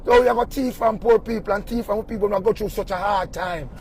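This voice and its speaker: A man's voice: sits high, a little fast, a little mellow, slight background noise. A man with a Jamaican accent ranting angrily, swearing and firing questions at the viewer. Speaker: vlogger ranting about stolen cargo. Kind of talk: angry rant.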